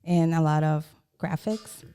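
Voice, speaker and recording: Frank Salvadoran woman with a gravelly low voice. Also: unhurried, warm tone. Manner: open, frank storytelling with frequent "um" and "you know". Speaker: guest, loan officer. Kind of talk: candid podcast conversation.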